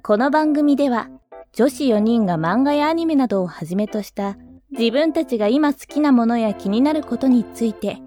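Young female intro narrator, higher-pitched scripted read over background music. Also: expressive, moderate pace, dark tone.

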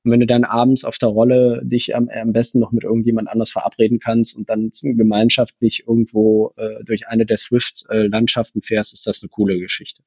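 A man's voice: on the low side, monotone, brisk, hardly any pauses, a little mellow, husky, dry recording. A man who co-hosts a podcast and coaches running, explaining things at length with many hesitations. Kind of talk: casual chat.

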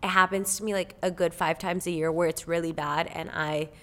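A woman's voice: on the low side, fairly even intonation, a little fast, bright, forceful tone. A woman in her twenties from Cuba, a podcast guest talking candidly and earnestly in casual chat, with many filler words. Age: 20s.

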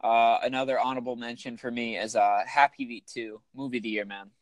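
Raspy man co-hosting a podcast. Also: mid-range voice, fairly even intonation, fairly quick, no room sound, rough recording. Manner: enthusiastic, joking, rambling. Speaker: podcast co-host. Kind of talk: casual chat.

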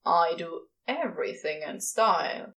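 A woman puts on a gruff male celestial being in an audio romance. The voice drops lower than the narration and moves slowly. He is awkward and lovestruck, grumbling in clipped, casual phrases. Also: lively intonation, fairly bright tone, full character voice, poor audio. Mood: grumbling, playful. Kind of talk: audio fiction character voice.

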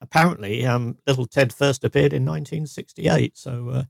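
A man's voice: mid-range voice, fairly even intonation, normal speed, gravelly, dry recording, clean studio sound. A man from England co-hosting a podcast, chatting casually and joking, with fillers along the way. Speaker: podcast co-host. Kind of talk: casual chat.

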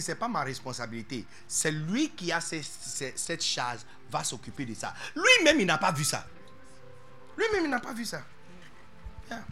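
A man preaching a sermon as pastor, animated and playful, dropping English interjections into his talk. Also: high voice.

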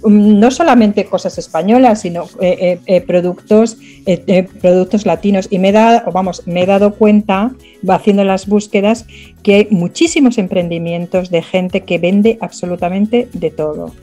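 A woman with a mellow, warm tone talks in a radio interview. She explains things eagerly, repeating words and filling pauses with "eh". Soft music sits faintly in the background. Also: medium pitch. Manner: animated, halting with fillers. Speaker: guest. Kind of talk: conversational interview talk. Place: radio studio.

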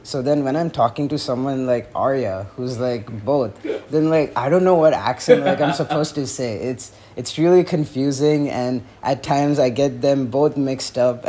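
Hesitant young podcast guest, faint hiss. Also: male voice, medium pitch, dark tone. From born in the US, raised in India. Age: young adult. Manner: hesitant, restarting speech with "like" fillers. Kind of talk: casual podcast chat.

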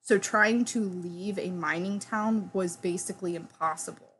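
A woman's voice: medium pitch, moderate pace, a bit bright, a little hiss or hum, low-quality recording. Sweary, jokey young woman. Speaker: podcast co-host. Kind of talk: casual chat.

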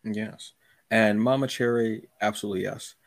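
A man's voice: lowish voice, steady tone, normal speed, no echo. A man hosting a casual, conversational interview, often restarting his phrases and acting out little asides. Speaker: podcast host.

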